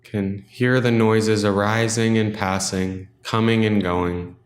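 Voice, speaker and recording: Low-voiced man guiding meditation, roomy echo. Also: level tone. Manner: gentle, unhurried, instructional with long pauses. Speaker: meditation guide. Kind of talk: guided meditation.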